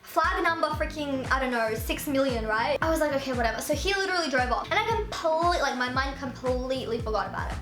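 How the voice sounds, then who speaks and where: Young woman vlogger from Russia, casual storyteller, high-pitched, roomy echo, music underneath. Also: lively intonation, quick pace, hardly any pauses. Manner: chatty, fills speech with "like", jumps high for quoted voices.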